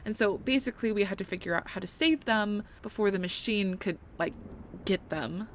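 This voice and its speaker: A woman's voice: medium pitch, moderate pace, natural voice. A young woman playing a nerdy, playful girlfriend who rambles about tabletop games in an intimate, casual ASMR roleplay style. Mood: excited, rambling. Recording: storm ambience with thunder behind. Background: thunder and storm noise.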